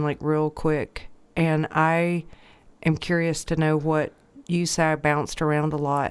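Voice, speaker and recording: A woman's voice: low voice, clear, resonant tone, no room sound. A woman hosting a podcast interview, slightly stuffy, speaking in an empathetic, probing way. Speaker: podcast host.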